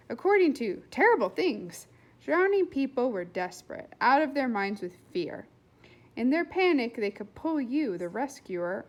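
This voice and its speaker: Animated woman reading aloud, fairly high voice. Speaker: read-aloud host and storyteller. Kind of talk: expressive children's book read-aloud.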